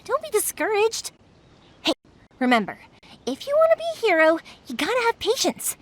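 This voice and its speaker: An actress voicing a cheerful young fireworks maker in a video game, upbeat and encouraging. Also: female voice, very high voice, wildly swooping pitch, normal speed, heavily acted. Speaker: game character.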